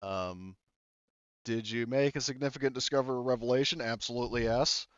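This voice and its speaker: A man's voice: medium pitch, brisk, clear, resonant tone, no echo, highs cut off. A man running a tabletop game session, talking in a loose, rambling way full of fillers. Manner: rambling, filler-heavy, laid-back. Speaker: game master.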